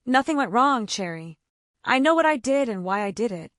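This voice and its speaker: A woman's voice: fairly high pitch, sing-song, normal speed, a bit bright, no echo, studio quality. A woman storyteller voicing a cold, bitter husband with curt, accusing words. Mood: bitter, angry.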